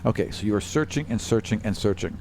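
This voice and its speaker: Low-voiced man running a tabletop game. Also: expressive, fast, darkish tone, a little hiss or hum. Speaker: game master of a tabletop role-playing podcast. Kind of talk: improvised game narration and table talk.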